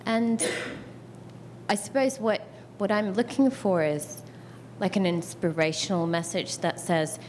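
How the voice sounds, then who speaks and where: A woman's voice: mid-range voice, fairly even intonation, unhurried, darkish tone, a little hiss or hum. A woman in her thirties asking a long question from the audience, chatty and self-deprecating, and acting out her own reactions as she tells her story. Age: 30s. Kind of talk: question from the floor.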